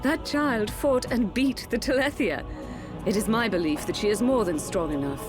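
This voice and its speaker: An actress playing a cool, calculating middle-aged royal consort with poised, courtly speech in a fantasy game drama. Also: female voice, fairly high pitch, expressive, normal speed, lightly acted. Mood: coolly insistent. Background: loud background music.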